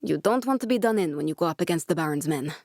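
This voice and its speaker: A woman's voice: low-pitched, lively intonation, fast talker, darkish tone, no echo, studio quality. A young woman actor playing a determined, guarded scientist in a video game, speaking in an earnest, serious way. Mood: serious, urgent. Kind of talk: dramatic video game dialogue.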